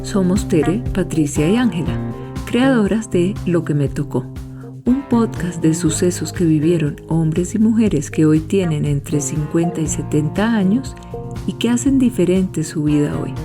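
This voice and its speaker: A woman's voice: fairly low pitch, expressive, a little slow. A Colombian woman reading scripted narration as the host of a podcast. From Cali, Colombia. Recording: music behind the voice. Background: music.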